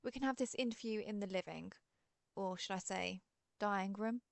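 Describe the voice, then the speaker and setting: A woman's voice: medium pitch, normal speed, gravelly, dry recording, lightly acted. A woman voicing a friendly, theatrical century-old vampire in an audio roleplay, chatty and playfully grand. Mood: mock menacing. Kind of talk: scripted audio roleplay monologue.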